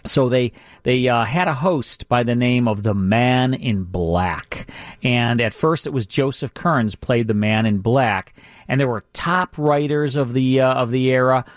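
A man's voice: medium pitch, measured pace, dry recording. Male radio host, close and dry. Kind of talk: casual radio talk about classic radio shows.

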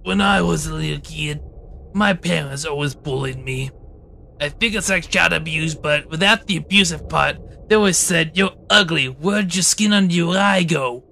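Guy doing a self-loathing mob boss, bright creaky highish voice, rambly and awkward, joke game dub. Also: normal speed, a little hiss or hum. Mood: rambling, resigned. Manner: rambling, awkward, deadpan confessing. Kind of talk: comedy fan dub of a game, improvised dialogue.

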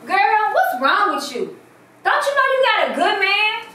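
A woman's voice: extremely high pitch, big pitch swings, unhurried, pushed and bright, heavily acted. A woman playing a flirtatious, meddling family friend in a stage drama, sassy and teasing. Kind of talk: amateur stage drama dialogue. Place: small living room, far camera. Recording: echoey room, distant mic, hiss.

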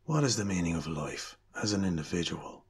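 A man playing a calm, dry devil who judges souls, measured and deadpan in a radio drama. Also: sits low, slightly rough, heavily acted. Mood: dry, matter-of-fact.

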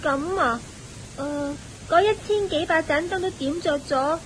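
An actress playing an eager, respectful young celestial maiden in a Hong Kong Cantonese radio drama, earnest and inquisitive. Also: female voice, measured pace, a bit bright, low-quality recording. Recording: hissy, rumbly old radio recording.

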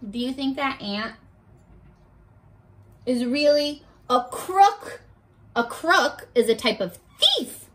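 A young woman leading a read-aloud lesson for children, talking to the camera in an animated, encouraging, child-directed way. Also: high-pitched, slow, pauses often. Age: young adult. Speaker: children's teacher. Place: bedroom at home, indoors.

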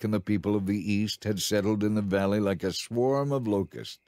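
A man narrating a dramatized audio Bible in a measured, clearly enunciated storytelling style. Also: sits low, a little mellow, no room sound.

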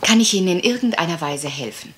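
Low-voiced, troubled genteel lady. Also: animated, fast, fairly bright tone, slight background noise. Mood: anxious, polite. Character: troubled, wistful genteel woman of a manor house. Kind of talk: German radio crime drama acting.

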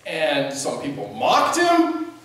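A man in his forties, a pastor preaching a sermon from the pulpit in a conversational, animated way, with lively gestures. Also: a little high, takes it slowly. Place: church sanctuary at a pulpit. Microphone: distant camera mic. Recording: very echoey church from a distance.

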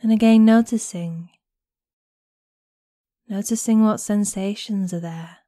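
A woman guiding a meditation in a gentle, soothing, unhurried manner with long pauses between phrases. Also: medium pitch, no echo, studio quality. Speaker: guided meditation instructor. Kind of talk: guided body scan meditation narration.

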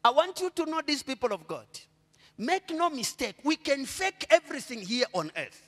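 A middle-aged Zambian man preaching a sermon on a church stage into a handheld mic. He asks the congregation to finish his sentences and swings between quiet murmurs and loud, drawn-out proclamations. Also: very high-pitched, sing-song, long reverb tail. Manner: preaching with call-and-response questions to the congregation. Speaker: pastor.

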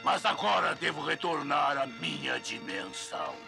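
A man voicing a huge, brooding horned demon in a dubbed cartoon, gravelly and ponderous. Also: fairly high pitch, big pitch swings, music in the background, heavily acted. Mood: solemn. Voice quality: gravelly, croaking. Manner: slow, ponderous. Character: huge horned winged demon, brooding, slow, ultimately noble. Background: dramatic cartoon score.

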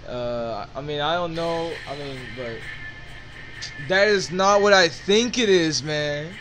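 A man's voice: on the high side, slow pace, a bit bright, faint music behind. A man chatting casually about his old videos, rambling and laughing often, over a console chat mic at home. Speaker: channel owner.

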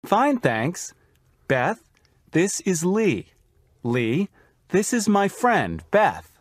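A man reading the part of a friendly young man in a slow scripted English-lesson dialogue. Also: high-pitched, very animated, no room sound, studio quality, heavily acted.

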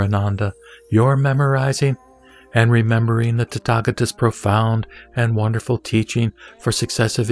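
A man reading a dramatised Buddhist scripture as narrator, in a measured, solemn and formal delivery. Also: on the low side, darkish tone. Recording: background music under the voice. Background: music.

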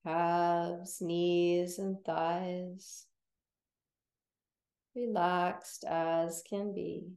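Slow, mellow woman guiding relaxation. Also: lowish voice, flat delivery, creaky, reverberant. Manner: soothing, gentle, unhurried with long pauses. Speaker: yoga nidra teacher. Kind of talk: guided relaxation meditation.

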